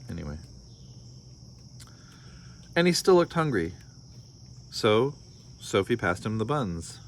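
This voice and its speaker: Low-pitched American man reading aloud. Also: sing-song, slow, a little hiss or hum. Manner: wry, joking commentary. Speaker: storybook reader. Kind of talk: children's story read-aloud with wry casual asides.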